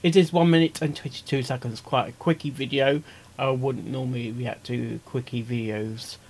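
A man's voice: mid-range voice, fairly even intonation, moderate pace, darkish tone, slight background noise. A man hosting a casual reaction video, talking in a rambling, repetitive way. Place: small cluttered room indoors.

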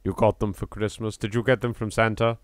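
A man's voice: on the low side, flat delivery, a little fast, a little hiss or hum. A British man hosting a let's play, commenting with playfully exaggerated reactions. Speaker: gaming video host. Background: game music.

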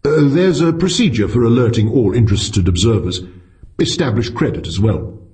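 A man voicing a learned senior astronomer with a measured, formal and courteous manner, in a story reading. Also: medium pitch, animated, warm tone, natural voice. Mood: flustered courtesy.

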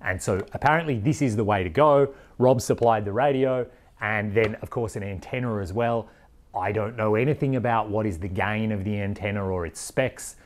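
A man's voice: on the low side, normal speed. A man in his forties from Australia explaining things in a casual vlog, frank and plain-spoken. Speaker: vlogger, overland traveller. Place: indoors in a workshop garage, and seated in a vehicle.

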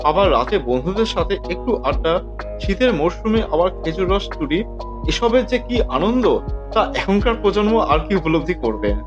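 A man hosts a storytelling podcast. His voice sits high and moves up and down a great deal as he narrates dramatically. Faint music plays underneath. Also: sing-song, moderate pace, lo-fi sound.

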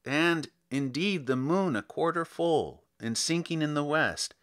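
A man reads a story aloud as a dramatic narrator. His delivery is slow and deliberate, with wide, lively swings of pitch. The voice is bright and forceful, and he lingers on phrases for theatrical weight. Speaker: narrator reading a short story. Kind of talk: dramatic audiobook narration of literary fiction.

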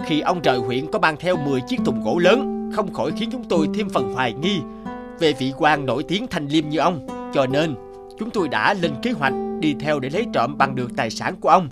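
A man voicing a repentant thief's letter in a solemn, measured, respectful way for an animated tale. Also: fairly high pitch, loud music behind the voice, natural voice.

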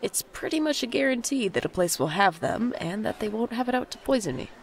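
An actor playing a wry, self-deprecating young adult in an audio drama, speaking and narrating in a dry, deadpan way. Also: medium pitch, brisk, gravelly, slight background noise. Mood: sardonic.

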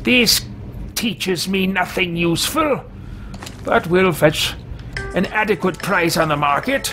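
Man playing a pompous, slow-spoken fantasy mage. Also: high-pitched, sharp tone, soft background music, voice pushed up, heavily acted. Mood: scornful. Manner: pompous, disdainful, theatrical, formal wording. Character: haughty, greedy male mage adventurer, easily bored and scornful. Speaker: let's-play host roleplaying a game character. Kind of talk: theatrical in-character game commentary.

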